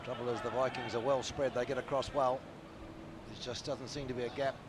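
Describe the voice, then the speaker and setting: A man's voice: medium pitch, little pitch movement. Kiwi man calling rugby outdoors. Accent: New Zealand. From New Zealand. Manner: upbeat, quick play-by-play with banter. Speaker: rugby commentator. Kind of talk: live sports commentary. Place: outdoor stadium commentary position. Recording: outdoor crowd and traffic noise.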